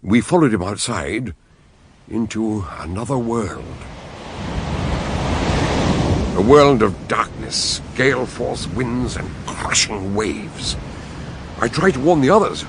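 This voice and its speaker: A genial detective doctor who hums and hesitates. His gravelly voice swoops widely in pitch. The radio drama recording carries clear background noise. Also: very animated, a little slow. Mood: narrating. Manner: hesitant, with many ums and uhs. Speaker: detective and narrator. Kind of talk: radio drama acting and narration.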